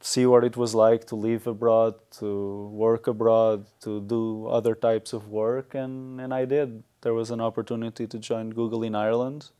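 A man's voice: mid-range voice, level tone, normal speed, hardly any pauses, mellow, smooth. A man in his thirties with a Portuguese accent, answering interview questions conversationally in a studio. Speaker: interview guest, software tech lead. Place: small indoor talk show studio.

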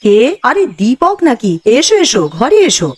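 A woman's voice: a little high, sing-song, normal speed, a little hiss or hum. A woman voicing a hospitable, worried sister-in-law from a village household in a cartoon. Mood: welcoming. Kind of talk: Bengali cartoon voice acting.

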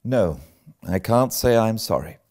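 A man voicing a stern, no-nonsense older woman in a storybook, brisk, scolding and incredulous. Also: lowish voice, animated, a little mellow, voice pushed up. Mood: reserved, practical. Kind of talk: storybook character reading.

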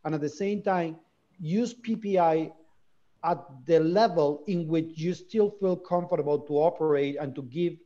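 A man's voice: a little high, moderate pace, warm tone. Man moderating a video call panel. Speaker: webinar moderator. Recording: dull lo-fi video call audio.

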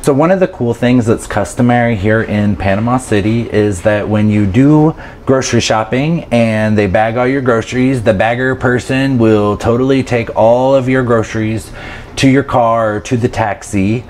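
A man's voice: mid-range voice, moderate pace, a little mellow, a little hiss or hum. A man in his thirties from the United States, talking casually and animatedly to camera as a travel vlogger, laughing often, filmed close up in a small apartment room. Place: grocery store, then small apartment room indoors. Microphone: camera mic, handheld then fixed camera close up.

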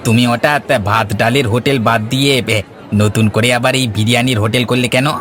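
A man voicing a friendly, curious, approving older regular customer in an animated story. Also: medium pitch, quick pace, a faint crowd, slight background noise, close to an everyday voice. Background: faint background music.

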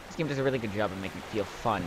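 A man's voice: fairly low pitch, rapid-fire, very noisy. A young man giving casual, joking commentary while playing a video game. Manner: joking, rambling, wry asides. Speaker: let's play commentator.

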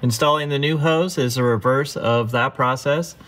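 Guy narrating his garage repair how-to, plain matter of fact voice, medium pitch. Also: normal speed, background noise. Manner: plain, practical, instructive. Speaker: car restoration video host.

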